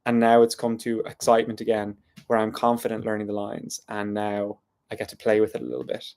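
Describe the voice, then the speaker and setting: A man's voice: on the low side, completely flat delivery, fairly quick, darkish tone, clear, resonant tone. An Irish man talking through an unscripted, reflective vlog monologue in a thoughtful, meandering way. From Ireland. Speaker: vlogger.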